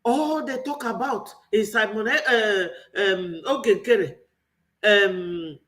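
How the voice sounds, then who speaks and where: A man's voice: high voice, slow pace, a bit bright. A man with a Nigerian accent giving an impassioned political commentary, full of rhetorical questions. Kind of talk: online political commentary monologue.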